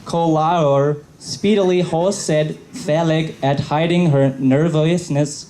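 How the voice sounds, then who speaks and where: A fairly high-voiced man reads a story aloud at a live panel. He goes slowly and haltingly, picking through the words. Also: fairly even intonation, mellow, a little hiss or hum, low-quality recording. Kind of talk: halting read-aloud of garbled fan fiction. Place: convention panel room.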